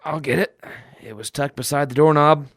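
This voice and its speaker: A man's voice: on the high side, normal speed, a little hiss or hum, lightly acted. A man playing a good-humored, knowing older father in a radio drama. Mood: expectant.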